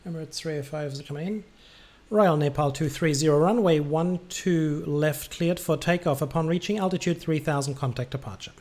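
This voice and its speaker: A man's voice: on the high side, a little fast, a little mellow. A man streaming as an air traffic controller, giving clipped procedural commands mixed with casual muttered asides.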